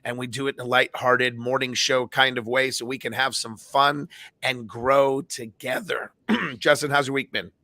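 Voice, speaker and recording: An American man with a slightly raspy voice co-hosting a lighthearted morning show, bantering casually in a joking, teasing way. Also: mid-range voice, steady tone, normal speed, pushed and bright, no echo.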